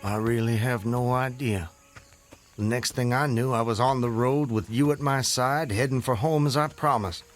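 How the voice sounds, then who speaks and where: An actor in a radio drama playing a dazed fugitive who recounts his escape in a halting, dramatic, old-fashioned storytelling manner. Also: mid-range voice, fairly even intonation, faint music behind, natural voice. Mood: puzzled, uneasy.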